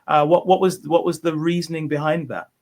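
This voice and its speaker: A man's voice: a little high, steady tone, fairly quick, vocal fry, darkish tone. A man hosting a magazine interview, encouraging the guest and repeating words as he builds his questions. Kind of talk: conversational interview.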